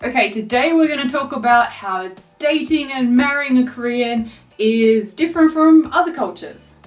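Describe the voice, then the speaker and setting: A woman hosting a casual, chatty vlog in an animated storytelling way. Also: high voice, moderate pace. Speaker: vlog host. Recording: roomy, distant mic with faint music.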